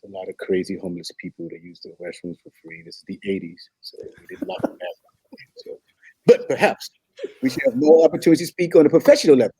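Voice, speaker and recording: A husky-voiced, smitten young man, played by an actor in a comedy read, with a pitch that jumps around eagerly. He is earnest and awkward, fumbling and stammering through his sentences. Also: wildly swooping pitch, quick pace, warm tone, full character voice, poor audio. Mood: nervous, eager.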